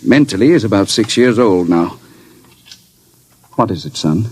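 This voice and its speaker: Husky, formal radio professor. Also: male voice, on the low side, expressive, unhurried, a little mellow. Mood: proud, formal. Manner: formal, precise, declamatory. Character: proud male scientist professor, formal, anxious inventor. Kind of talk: old-time radio drama acting.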